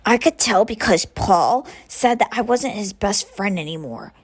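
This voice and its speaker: A woman with a mid-pitched voice reads a picture book aloud for children. She tells it expressively as a storyteller. Key words are drawn out with deliberate emphasis. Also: lively intonation.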